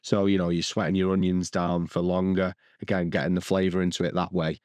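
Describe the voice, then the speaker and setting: Low-voiced man chatting casually. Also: flat delivery, brisk, a little mellow, smooth, dry recording. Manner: rambling, restarts sentences, lists recipe steps. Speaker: podcast co-host. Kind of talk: casual chat.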